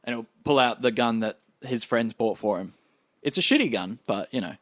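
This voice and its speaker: A man's voice: medium pitch, animated, moderate pace, fairly bright tone, no echo, studio quality. A man casually narrating what his character does as a player in a tabletop roleplay podcast. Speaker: player in an actual play podcast.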